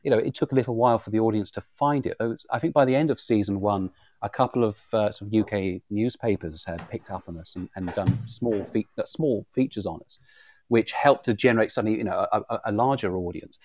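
A man's voice: on the low side, darkish tone. A man with a British accent in an informal interview, often repeating words as he finds his way through a sentence. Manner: halting, repeats words as he goes. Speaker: audio drama creator, interviewer. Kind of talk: informal live conversation interview.

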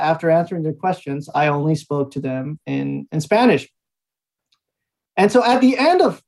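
A man in his thirties from Mexico, speaking as an interview guest, telling his story in a relaxed way with frequent fillers and small laughs. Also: sits high. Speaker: guest, language institute founder. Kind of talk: conversational interview answers.

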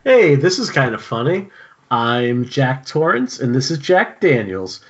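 A man's voice: medium pitch, very animated, a little slow, slight background noise. A man playing a leering, menacing, hard-drinking villain in a screenplay table read over a dull internet call. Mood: sly, goading.

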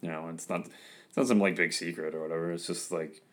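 A young man with a very deep voice hosting a podcast, talking in a relaxed, filler-heavy, somewhat self-conscious way. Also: fast. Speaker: podcast host. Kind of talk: casual interview chat.

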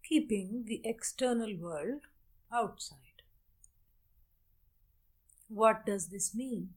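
A woman teaches a guided meditation at a very unhurried pace. Her voice sits at a medium pitch. She gives measured instructions in short phrases, leaving long pauses between them.

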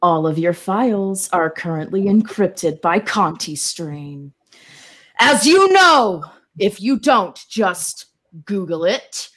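A woman's voice: mid-range voice, big pitch swings, fairly bright tone, exaggerated voice. Woman as a theatrical, menacing extortionist with dramatic swings. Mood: menacing, coaxing. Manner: dramatic, drawn-out, threatening delivery. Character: menacing, theatrical ransomware extortionist reading a ransom note.

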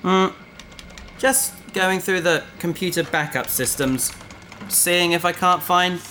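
An actor playing a preoccupied, gently stubborn space traveller in a naturalistic sci-fi audio drama, hesitant and often trailing off. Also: male voice, sits high, slow, noisy background. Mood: distracted, uneasy.

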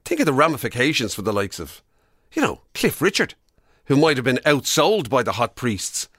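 A man's voice: medium pitch, wildly swooping pitch, moderate pace, a bit bright, dry recording, studio quality. An Irish man co-hosting a radio show in a casual, comic back-and-forth.

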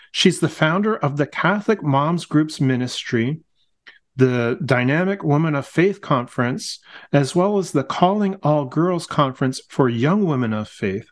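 A middle-aged man hosting a casual podcast, friendly and warm, often restarting his words and laughing gently. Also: fairly high pitch. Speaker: podcast host.

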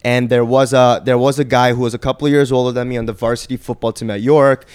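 Young guy from korea chatting on a podcast, laid back, says right a lot, smooth clean voice. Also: medium pitch, level tone, fast, a little mellow, no echo. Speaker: guest, boxing trainer. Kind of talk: casual podcast storytelling.

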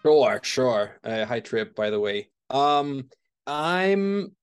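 A man from Denmark, a startup founder in his thirties, answering interview questions in a relaxed, searching way with many fillers and restarts. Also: medium pitch, very slow, smooth, soft background music. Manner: thoughtful, frequent fillers and restarts while explaining.

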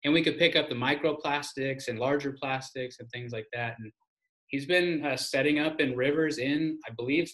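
A man's voice: medium pitch, moderate pace, fairly bright tone, low-quality recording. A man hosting an interview podcast, chatty and enthusiastic, with many fillers and restarts. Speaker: podcast host. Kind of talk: interview podcast intro and chat.

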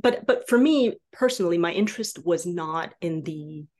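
A woman from Taiwan, a native Mandarin speaker, talking as a guest novelist in a conversational podcast interview, halting as she thinks aloud and often saying "like" and "you know". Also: on the low side, lively intonation, darkish tone.

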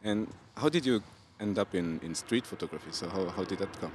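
A man's voice: deep voice, a little fast, a little hiss or hum. A man hosting a podcast interview, speaking with frequent hesitations; a Luxembourgish native speaking English. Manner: hesitant, many uhs. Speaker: podcast host. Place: outdoors by a venue.

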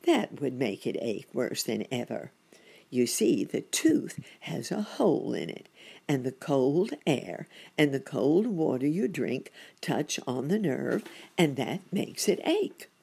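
Lady doing a calm motherly muskrat nurse, low and slow, kinda husky. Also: heavily acted. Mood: reassuring. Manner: calm, reassuring. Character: kind, sensible muskrat housekeeper lady.

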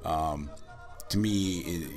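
Deep, scratchy-voiced male podcast host. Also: takes it slowly, darkish tone, faint music behind. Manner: chatty, enthusiastic, many fillers. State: hoarse from a cold. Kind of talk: casual chat.

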